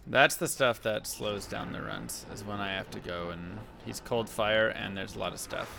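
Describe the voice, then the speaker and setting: Deep voiced guy streaming, gravelly, mumbly chat with viewers, noisy audio. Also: moderate pace. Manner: relaxed, muttering to himself and chat while playing. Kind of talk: casual gameplay commentary.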